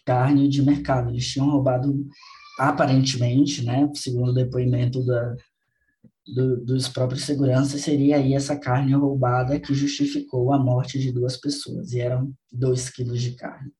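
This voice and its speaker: A man hosts a podcast conversation in a mellow, warm-toned voice at a middle pitch. He speaks earnestly and reflectively, leaning on repeated phrases to stress his point. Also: monotone, moderate pace, rough recording. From Bahia, Brazil. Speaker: podcast host.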